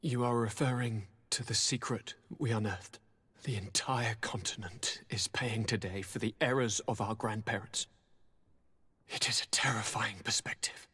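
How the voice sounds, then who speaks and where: A husky, breathy suffering nobleman. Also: on the low side, fairly even intonation, bright, forceful tone, full character voice. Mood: grave. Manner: formal, theatrical, slow and pleading. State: suffering, weakened by sickness. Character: ailing young nobleman governor, courteous, anguished, devoted. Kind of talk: dramatic video game acting. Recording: long hall-like reverb.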